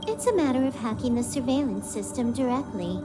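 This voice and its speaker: A woman's voice: on the high side, lively intonation, a little fast, close to an everyday voice. A woman voice-acting a smug young hacker in a video game, matter-of-fact, nonchalant and sure of herself. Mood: dry, dismissive. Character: smug young female hacker, brisk, cool and self-assured. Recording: music clearly behind the voice. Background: game soundtrack music.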